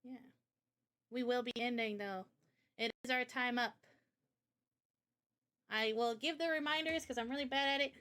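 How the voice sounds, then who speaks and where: High-voiced woman chatting on a livestream. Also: fairly even intonation, normal speed, pauses often, a bit bright. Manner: informal, slangy, chatty. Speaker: live streamer and indie game developer. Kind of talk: casual livestream chat.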